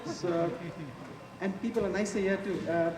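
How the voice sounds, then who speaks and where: Indian-accented male chef in an echoey, noisy space. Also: sits high. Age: 40s. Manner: chatty, informal, halting delivery. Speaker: chef giving a cooking demonstration. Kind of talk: casual live cooking demonstration. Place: large echoey event space before an audience. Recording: echoey, noisy public hall, distant mic.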